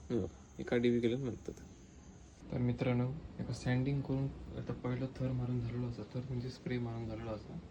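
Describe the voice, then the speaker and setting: A man with a Malvani accent talks informally, explaining his work step by step like a motorcycle vlogger. His mid-pitched voice stays quite flat. The handheld phone recording has clear background noise. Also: fairly quick. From Konkan, western India. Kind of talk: casual hands-on restoration vlog.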